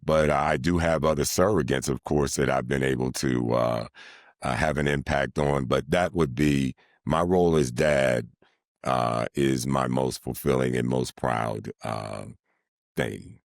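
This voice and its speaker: A middle-aged man, a sports announcer, speaking in a deliberate, formal and wordy way in an interview. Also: rumbling low, fairly even intonation, gravelly, no room sound, studio quality. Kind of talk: measured, formal interview talk.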